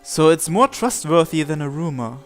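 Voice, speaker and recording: A man's voice: a little high, animated, normal speed, soft background music. Man reading a visual novel aloud. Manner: reads narration and every character's lines in his own voice. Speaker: let's-play host.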